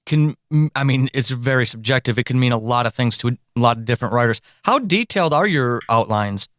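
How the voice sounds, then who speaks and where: Clear-voiced man hosting a podcast interview. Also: mid-range voice, lively intonation, a little fast, a bit bright, dry recording, clean studio sound. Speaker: podcast host. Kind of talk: conversational interview.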